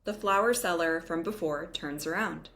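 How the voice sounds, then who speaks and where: A woman's voice: fairly low pitch, normal speed, vocal fry, fairly bright tone. A woman reading screenplay stage directions as narrator in scripted table-read style.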